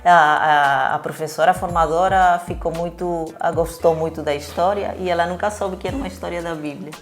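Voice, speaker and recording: A woman's voice: fairly low pitch, fairly even intonation, fairly quick, soft background music. A woman from Peru speaking Portuguese with a Spanish accent, explaining things conversationally as an interviewed missionary and often correcting herself. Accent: Spanish-accented Portuguese, Peruvian.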